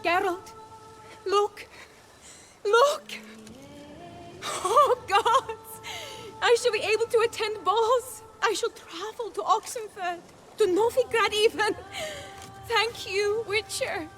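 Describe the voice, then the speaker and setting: A woman's voice: very high voice, slow pace, long pauses. A woman playing a refined young noblewoman in a fantasy video game, earnest and resolute, with formal, precise phrasing. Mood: tearful joy. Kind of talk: video game cutscene acting. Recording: faint music under the voice.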